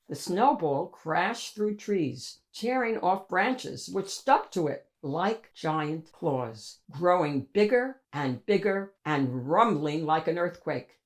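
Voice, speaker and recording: Unhurried, very expressive woman reading a storybook. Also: on the low side. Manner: dramatic, emphatic. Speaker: storybook reader and host. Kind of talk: expressive children's picture book read-aloud.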